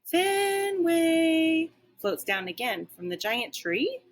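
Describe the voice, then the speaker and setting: A woman reading a children's story aloud like a teacher, with expressive narration. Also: mid-range voice, moderate pace, slightly rough.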